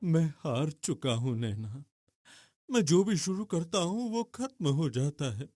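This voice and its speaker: A man's voice: a little high, sing-song, takes it slowly, mellow, no echo, clean studio sound, heavily acted. An actor playing a dejected, earnest poor young man in a cartoon story, downcast and hesitant. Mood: despairing.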